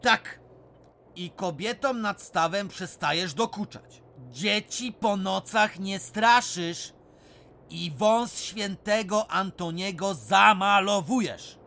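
An actor playing a clever, cheeky miner, crafty and teasing. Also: male voice, high voice, takes it slowly, vocal fry, bright, forceful tone, full character voice. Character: clever cheeky Silesian miner Bulandra, adult man. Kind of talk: radio drama acting.

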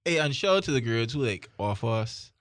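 Male podcast co-host, animated pitch, teasing and profane. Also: dry recording, clean studio sound. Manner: teasing, swears freely, quick comic complaints. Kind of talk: casual banter among friends.

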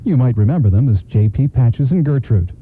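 A male radio host introduces a program with animated, swooping intonation. His mid-pitched voice comes through a narrow, tinny band, like a small radio. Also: fairly quick, slightly rough.

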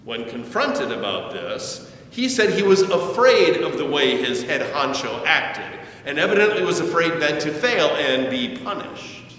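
An older man, a pastor, preaching a sermon with deliberate, emphatic phrasing and stressed pauses. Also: big pitch swings, a bit bright. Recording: echoey hall, distant mic, muffled, faint rumble.

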